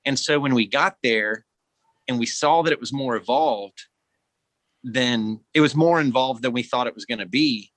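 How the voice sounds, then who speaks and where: A man with a bright, forward voice talks as a guest actor on a podcast. His delivery is earnest, expressive and reflective, with a middle pitch and a very dry sound. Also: moderate pace, no room sound.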